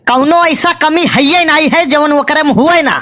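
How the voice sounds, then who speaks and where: A woman plays a skeptical cartoon villager with a high, bright, cutting voice and an Awadhi accent. The figure is picky and argumentative, answering in dry, colloquial comic retorts. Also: a little slow.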